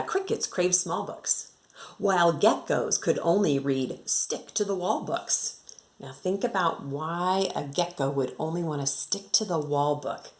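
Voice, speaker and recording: An American woman working as an elementary teacher, reading a picture book to children expressively and rhythmically, with casual explanatory asides. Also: on the low side. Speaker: second grade teacher reading aloud to pupils.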